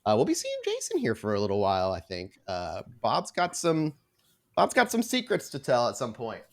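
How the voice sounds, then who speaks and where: A man's voice: medium pitch, wildly swooping pitch, moderate pace. Man hosting a tabletop actual play show. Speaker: host and game storyteller of an actual play podcast. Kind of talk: casual announcements, then slow scene-setting narration.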